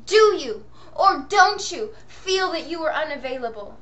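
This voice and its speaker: A woman poet voicing her own passionate, wounded dark inner self, accusing and declamatory in old-fashioned diction. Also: sits high, lively intonation, a little slow, dull audio. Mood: accusing, probing. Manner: theatrical, declamatory, archaic diction.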